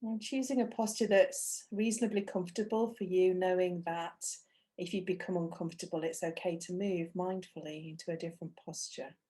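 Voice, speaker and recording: A woman teaching a guided meditation, speaking gently and unhurriedly, with long pauses between phrases. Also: fairly low pitch, fairly even intonation.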